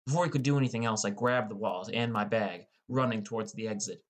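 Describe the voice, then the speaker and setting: A man with a fairly low voice narrates a first-person story. It is scripted audiobook reading. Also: fast.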